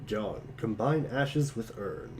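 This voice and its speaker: A man's voice: on the low side, animated, slow pace, darkish tone. A man reading short scripted commands in a webcomic dramatic reading.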